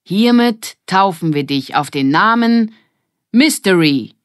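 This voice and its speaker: A woman's voice: lowish voice, lively intonation, slow pace, dry recording, clean studio sound, pitched above the performer's own voice. A woman voicing a confident, teasing older girl who leads a gang, in a children's audiobook. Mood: solemn.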